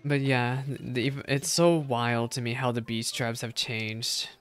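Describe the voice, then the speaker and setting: A man's voice: mid-range voice, steady tone, takes it slowly, fairly bright tone, clear, resonant tone. A young adult man streams a video game with casual commentary. He talks in loose, offhand asides while music plays in the background.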